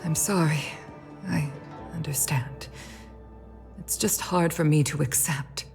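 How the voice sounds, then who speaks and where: A woman playing a dignified, grieving middle-aged leader in a video game, speaking in a formal, measured and solemn way. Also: low voice, gravelly, a little mellow, full character voice. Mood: sorrowful. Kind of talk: scripted video game voice acting. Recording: game music under the voice. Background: game music.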